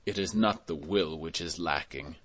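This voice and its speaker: A man's voice: very deep voice, a bit bright, no room sound, pitched below the performer's own voice. A man voicing a stern, suspicious authority figure in a storybook, emphasizing each word with cool indifference. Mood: cold, insinuating. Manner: slow, emphatic, indifferent, deliberate. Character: stern, suspicious, coldly watchful male authority.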